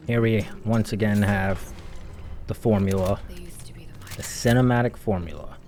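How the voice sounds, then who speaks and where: A man's voice: fairly low pitch, measured pace, a little mellow, a little hiss or hum. A man giving informal, exasperated and sarcastic commentary as a video game critic, swearing freely. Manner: sarcastic, exasperated, foul-mouthed. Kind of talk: informal analysis commentary over gameplay.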